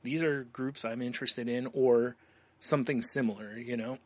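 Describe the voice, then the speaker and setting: Male podcast co-host, bright forward voice, rambling conversational delivery, very dry close recording. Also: medium pitch, fairly even intonation, unhurried, no echo. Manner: rambling, conversational, often says "you know". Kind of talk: casual chat.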